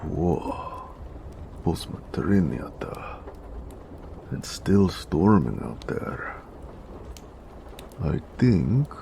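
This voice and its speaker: A man playing a gentle, teasing orc blacksmith in a romantic audio roleplay, with an affectionate manner. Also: slight background noise, full character voice. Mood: amused. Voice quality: deep, gravelly. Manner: affectionate, playfully sarcastic, unhurried.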